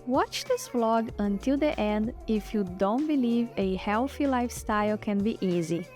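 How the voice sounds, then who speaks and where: A woman in her thirties whose first language is Portuguese, narrating a relaxed lifestyle vlog in a chatty way and restarting words now and then. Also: on the high side, moderate pace. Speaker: lifestyle vlogger. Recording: soft background music.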